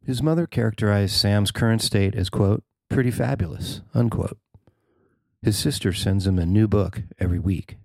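A man with a low voice reads a written news feature aloud as narrator. The sound is dry, with very little room around him. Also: moderate pace, gravelly, a little mellow, no echo. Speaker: writer and narrator of a feature story.

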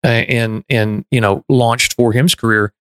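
A man's voice: medium pitch, steady tone, a little slow, clear, resonant tone, no echo, studio quality. A middle-aged man from Texas, hosting a podcast in casual, earnest, reflective conversation. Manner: earnest, self-reflective, frequent restarts. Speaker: podcast host.

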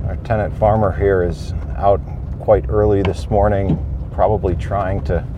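Man, podcast host, very slow deliberate delivery, steady noise behind, thoughtful pauses. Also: low voice, dull audio. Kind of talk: casual solo podcast talk. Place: on a homestead farm.

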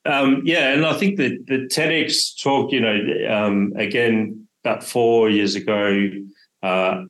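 A man in his forties from South Africa, first language Afrikaans, guesting on a podcast and telling his story in a relaxed, casual way with plenty of ums and restarts. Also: on the low side, slow pace. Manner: relaxed, many fillers and restarts. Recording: roomy echo.

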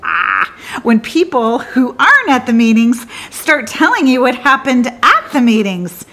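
An actress as a slow, bitter, disillusioned woman lawyer. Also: on the high side, very animated, fairly bright tone, exaggerated voice, low-quality recording. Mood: wry alarm. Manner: halting, confiding, wry, with abrupt swings. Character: bitter, disillusioned woman lawyer confiding about her firm. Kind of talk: theatrical monologue.

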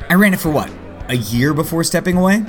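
A man's voice: a little high, animated, quick pace, close to an everyday voice. An actor playing a rich, sardonic yet earnest man in his late thirties in an audio drama. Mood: wry. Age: 30s. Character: rich, sardonic, earnest middle-aged male vigilante heir. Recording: long hall reverb, faint music behind.

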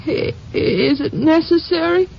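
An actress playing a gracious, grieving small-town widow who speaks softly and hesitantly in a 1950s western radio drama, on an old recording. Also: female voice, high-pitched, expressive, a little slow, gravelly, lo-fi sound, exaggerated voice. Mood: subdued, anxious.

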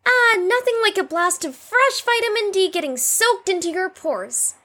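Excitable kid chatterbox, audio drama: very high squeaky pitch, animated delivery, bubbly and eager. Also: female voice, moderate pace, full character voice. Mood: cheerful. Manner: excitable, chirpy.

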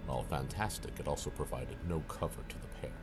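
A man's voice: rumbling low, fast talker, loud music behind the voice. A man playing the narrator of a suspense audio drama, reading scripted storytelling. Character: grave male storyteller narrator of suspense tale.

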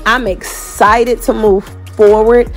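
A woman's voice: on the high side, little pitch movement, slow, soft background music. A woman in her forties with an American accent, hosting a podcast as an unscripted, candid and colloquial personal monologue. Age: 40s. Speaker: podcast host.

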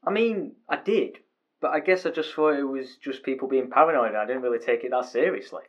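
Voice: mid-range voice, a little fast, lo-fi sound, natural voice. A performer playing a gossipy, grumbling male railway worker in an amateur audio drama. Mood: sleepy, doubtful. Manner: colloquial, conversational. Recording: faint background noise and ambience.

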